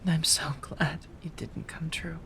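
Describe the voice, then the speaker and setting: A woman voicing a remorseful, possessive female vampire in an ASMR roleplay monologue, speaking in measured, halting, formal phrases with sighs. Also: low-pitched, fairly even intonation, a bit bright. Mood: grieving, remorseful.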